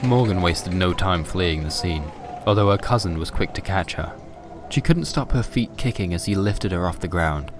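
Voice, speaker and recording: A man's voice: sits low, expressive, moderate pace, music in the background. A man narrating a scripted horror story for a fiction podcast.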